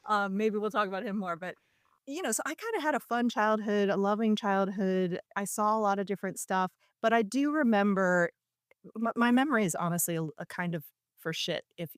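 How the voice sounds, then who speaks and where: Candid, laughing woman, low voice. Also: clear, resonant tone, no echo, clean studio sound. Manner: candid, laughing, self-deprecating storyteller. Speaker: podcast guest, actor. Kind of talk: casual interview conversation.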